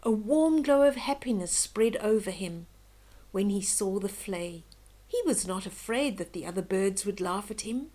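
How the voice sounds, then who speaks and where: An older woman reading a children's bedtime story aloud in a gentle, expressive, dramatic way. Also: medium pitch. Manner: slow, gentle, dramatic, with hushed whispery moments.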